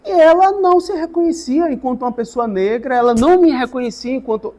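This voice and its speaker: A man, a guest teacher giving a thoughtful, halting interview answer with many restarts. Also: very high-pitched, a little mellow. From Salvador, Brazil.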